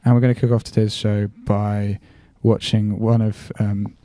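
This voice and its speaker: A husky man introducing a podcast episode, his voice dark and very mellow, sitting fairly low. He talks in a measured, somewhat quiet way while recovering his voice. The sound is dry and close. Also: little pitch movement, no echo. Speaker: podcast host. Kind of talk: scripted show introduction.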